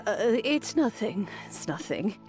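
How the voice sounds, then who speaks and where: A woman's voice: medium pitch, very animated. An actress playing a wistful, wise old woman in a video game, telling things in a reflective, aged voice. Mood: wistful, grave. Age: older. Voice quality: creaky, aged. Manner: slow, reflective storytelling. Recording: soft game music behind.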